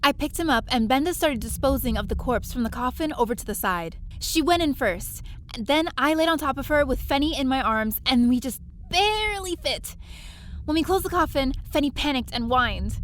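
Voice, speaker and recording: A woman playing a plucky, quick-thinking space adventurer who narrates her own story in an audio drama with expressive, dramatic delivery. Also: high voice, slight background noise. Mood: dramatic narration. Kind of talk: first-person narration and audio drama acting.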